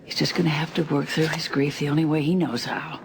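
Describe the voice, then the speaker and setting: A woman's voice: low voice, flat delivery, a little fast. A woman playing a weary, resigned friend in a soap opera. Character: weary, resigned longtime friend of grieving man. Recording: noticeable background noise.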